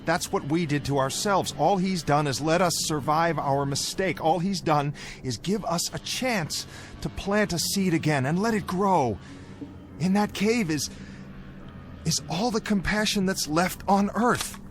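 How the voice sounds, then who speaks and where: An actor playing a grave guardian who pleads and moralizes in a measured, earnest 1960s television drama manner. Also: male voice, a little high, lightly acted. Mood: pleading. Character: grave, pleading male guardian of a forbidden cave. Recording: old television soundtrack with loud music.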